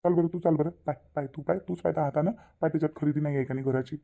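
A man plays a middle-aged village father in a cartoon, bossy yet doting. He speaks very quickly and insistently, scolding in a rural Maharashtrian accent. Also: on the high side, steady tone, gravelly, warm tone. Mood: impatient. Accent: rural Maharashtrian Marathi. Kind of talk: cartoon comedy dialogue.

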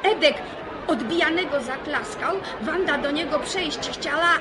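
An actress playing an excitable, nosy village gossip in a radio serial, exclaiming and repeating herself in rustic speech. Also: female voice, high-pitched, a little slow, background noise. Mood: excited, scandalized. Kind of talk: Polish radio serial acting. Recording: old radio drama, music and crowd behind.

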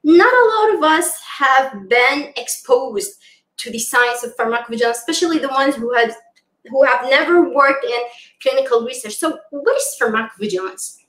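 A young woman hosts an online interview over a video call. Her voice sits high, and she sounds eager and admiring. The room around her rings noticeably. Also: animated, moderate pace, a bit bright, reverberant, poor audio. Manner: enthusiastic, admiring. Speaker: presenter. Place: home room with bookshelves.

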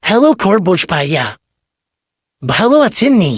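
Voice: medium pitch, sing-song, moderate pace, lightly acted. A performer playing a cheery, teasing tortoise in a children's cartoon race. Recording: clearly noisy background.

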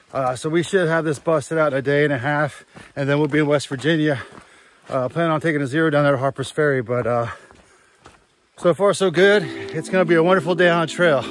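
A man in his thirties vlogging his thru-hike in a casual, upbeat, easygoing way, filming himself with a phone at arm's length on a misty forest trail. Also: fairly high pitch. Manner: upbeat, easygoing, drawn-out fillers. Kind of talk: casual trail vlog to camera. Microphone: phone held at arm's length.

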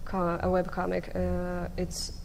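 A woman's voice: on the low side, completely flat delivery, unhurried, creaky, a little mellow. A young woman talking casually as an artist on a panel, into a table microphone on a stage. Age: young adult. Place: convention panel stage before an audience. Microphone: table microphone on stand. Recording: noisy live stage microphone.

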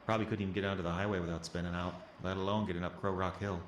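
A man's voice: low voice, flat delivery, rapid-fire, natural voice. An actor playing an uneasy working man who narrates his own snowbound horror story in a dramatic, brooding way. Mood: casual. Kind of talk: first-person radio horror narration. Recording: noisy storm ambience under the voice.

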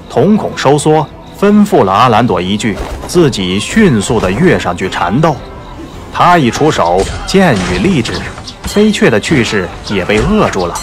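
A man narrating a martial arts novel as a dramatic audiobook. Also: mid-range voice.

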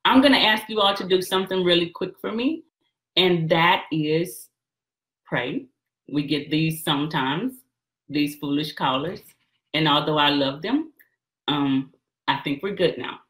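A woman's voice: low-pitched, a little slow, a bit bright, rough recording. A woman in her thirties hosting an online call-in session, speaking casually to her listeners from a small room. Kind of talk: casual, apologetic address to listeners. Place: small home office, indoors.

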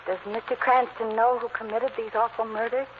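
An actress playing a timid, anxious, polite young secretary with a hesitant manner, in 1940s radio drama style. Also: female voice, a little high, little pitch movement, a little fast, natural voice. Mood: meek, polite. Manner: hesitant, stammering. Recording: noisy, muffled old radio recording.

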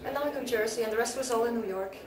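A woman in her thirties from Belgium with a Belgian accent, chatting in a relaxed, hesitant way as a punk musician being interviewed in a club. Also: fairly high pitch, rapid-fire. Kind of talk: casual interview. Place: indoor club hallway, echoey. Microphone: camera mic from a distance. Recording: echoey room, distant mic, crowd behind.

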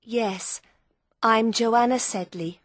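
A woman playing a brave young noblewoman in a dramatized storybook, earnest and clearly enunciated. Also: a little high, slow, dry recording, studio quality. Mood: confessing. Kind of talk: dramatized audiobook dialogue.

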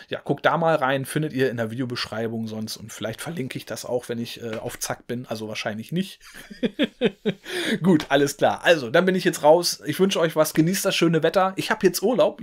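A man in his forties talks casually to camera about games, in a voice that sits a little high. He rambles and leans on fillers and little tag questions. He speaks into a desk mic in a room at home. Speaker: gaming video host. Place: home room with game shelves, indoors. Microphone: desk mic in front.

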